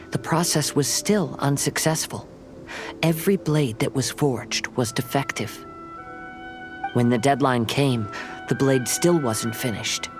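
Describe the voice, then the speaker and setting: A man playing a gentle young wandering samurai in a video game, speaking in measured, formal, reflective phrases. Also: medium pitch, close to an everyday voice. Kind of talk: video game story dialogue.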